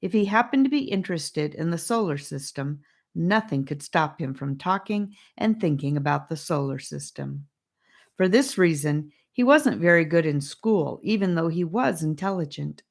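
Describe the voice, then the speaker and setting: A woman reading a children's fantasy audiobook, telling the story gently and expressively. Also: deep voice, animated, normal speed, gravelly. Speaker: audiobook narrator.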